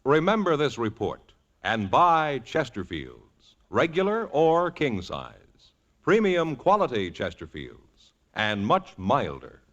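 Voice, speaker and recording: A man's voice: medium pitch, huge pitch swings, slow pace, a bit bright. A man reading a scripted 1950s radio advertisement as announcer, formal and authoritative. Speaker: commercial announcer. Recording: muffled old radio recording.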